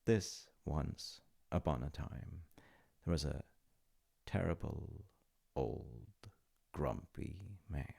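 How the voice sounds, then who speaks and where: A man playing a gentle, playful dad, telling a bedtime folk tale in a husky, gravelly voice with unhurried pauses and soft asides. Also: rumbling low. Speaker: audio roleplay voice actor playing a dad. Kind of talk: intimate comforting roleplay, improvised-sounding bedtime storytelling.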